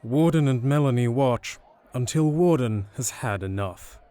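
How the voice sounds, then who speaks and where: A man's voice: mid-range voice, lively intonation, unhurried, dark tone, smooth. A man reading scripted narration for an audio drama.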